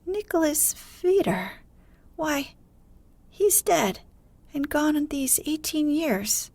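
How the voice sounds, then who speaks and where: A thin-voiced old man of the village , put on by a woman reader. He pipes up high in a matter-of-fact storybook manner, with a dry, close sound. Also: big pitch swings, takes it slowly, a little mellow, no echo, full character voice. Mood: matter-of-fact, reminiscing. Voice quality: thin, piping. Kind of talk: storybook character voice.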